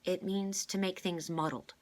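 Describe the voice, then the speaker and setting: A woman's voice: lowish voice, steady tone, normal speed, pitched above the performer's own voice, close to an everyday voice. A woman voicing a thoughtful, earnest child in a storybook, asking questions plainly. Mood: puzzled.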